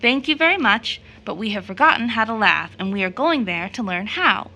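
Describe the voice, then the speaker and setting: A woman's voice: mid-range voice, lively intonation, normal speed, bright, forceful tone. A woman storyteller voicing solemn, polite little boys in a fairy tale, grave and earnest.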